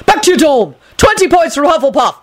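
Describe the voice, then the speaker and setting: A woman voicing an irritable, protective young male wizard professor in a story, barking forcefully. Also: medium pitch, a little fast, slightly rough, close to an everyday voice. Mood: angry shouting.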